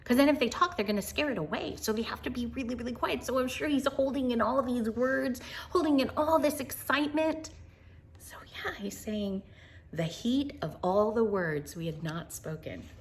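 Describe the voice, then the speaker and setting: A woman reading a picture book aloud to children in an expressive, wonder-filled way, with chatty asides and hushed moments. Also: a little high, moderate pace. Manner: animated, wonder-filled, addressing young listeners, dropping to hushed tones.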